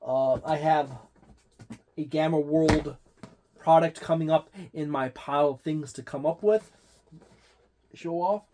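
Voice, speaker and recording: A man's voice: a little high, unhurried, long pauses, background noise. A man hosting a tabletop game channel, giving a casual product review in a rambling way with many fillers and restarts.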